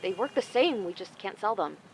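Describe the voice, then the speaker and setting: Actress as curt female crime boss, mid-pitched, dry and disdainful, faint ambience behind. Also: expressive, a little fast, slight background noise, natural voice, rough recording. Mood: businesslike. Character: curt, menacing woman underworld dealer and boss. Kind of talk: audio drama acting.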